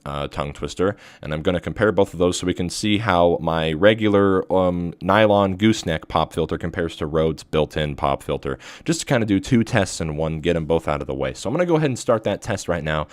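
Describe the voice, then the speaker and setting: A man with a deep voice casually explaining a gear review, with lots of fillers, into a USB studio microphone. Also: lively intonation, a little fast, few pauses, no echo. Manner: rambling, filler-heavy explanations. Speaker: tech reviewer.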